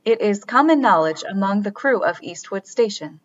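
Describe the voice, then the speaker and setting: A woman's voice: medium pitch, expressive, normal speed, lo-fi sound, lightly acted. A woman playing an artificially created being in an audio drama, earnest and emotionally detached, with formal, literal phrasing. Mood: polite, curious.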